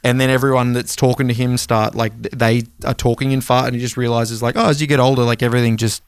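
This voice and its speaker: A man in his thirties with a clear, smooth voice and an average pitch. He talks as a podcast co-host, rambling and swearing casually, recorded very dry with no room sound. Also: level tone, quick pace, no room sound. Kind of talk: casual music podcast chat.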